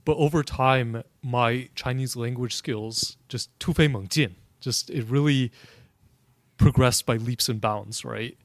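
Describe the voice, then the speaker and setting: A man's voice: medium pitch, measured pace, no room sound. A man being interviewed, recounting his experiences in an earnest, conversational way and often checking in with the listener. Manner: earnest, keeps checking in with tag questions. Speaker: interview guest. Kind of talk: conversational storytelling.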